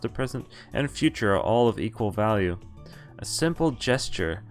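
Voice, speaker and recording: A man streaming a visual novel, reading the game text aloud in a half-acted way and breaking off for wry, casual asides. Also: on the low side.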